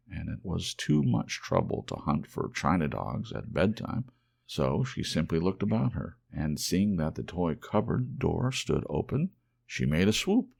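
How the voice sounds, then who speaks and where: A man narrating a children's storybook in an ASMR audiobook style, measured and expressive. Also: low voice, gravelly, slightly rough.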